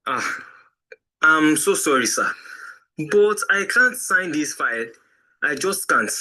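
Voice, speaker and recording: A man's voice: on the high side, expressive, takes it slowly, sharp tone, exaggerated voice, rough recording. A man performing an honest Nigerian chief accountant in an audio drama. He is polite and deferential to his superior, yet firm and principled. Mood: shocked, firm.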